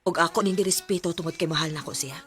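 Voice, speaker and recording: An anguished young woman in love, played by a radio actress, pleads and confides earnestly. Her delivery is emotional and sentimental, set over a clear bed of music. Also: fairly low pitch, fast talker, a bit bright, close to an everyday voice. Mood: sorrowful, resigned. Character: lovesick, anguished young woman telling her own story. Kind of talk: sentimental radio drama acting.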